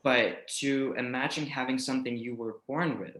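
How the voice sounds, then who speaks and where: A man's voice: medium pitch, steady tone, moderate pace. Man, native Danish speaker, hesitant frank answers, echoey room sound, podcast guest. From Denmark. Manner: hesitant, soft-spoken, frank. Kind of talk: casual interview answers.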